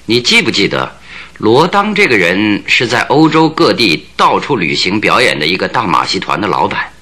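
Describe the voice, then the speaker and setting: An actor in a radio drama playing a keen, commanding male detective, deliberate and questioning. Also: lowish voice, big pitch swings, pushed and bright, full character voice. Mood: reflective.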